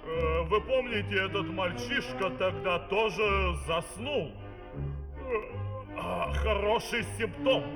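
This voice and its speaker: An actor playing a pompous dictator in a comic fairy-tale opera, declaiming theatrically on an old vinyl record. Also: male voice, high voice, slow pace. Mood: drowsy. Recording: 1971 vinyl record, reverberant hall, music.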